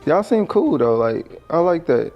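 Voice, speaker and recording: A man's voice: a little high, very animated, a little slow. A man chatting casually and flirtatiously in a teasing, slangy way. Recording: muffled video clip with music.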